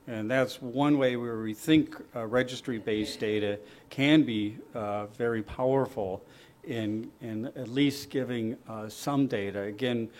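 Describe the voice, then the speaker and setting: A man presenting at a medical panel, talking in a halting way with many fillers and restarts. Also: mid-range voice, fairly even intonation, darkish tone, slight background noise.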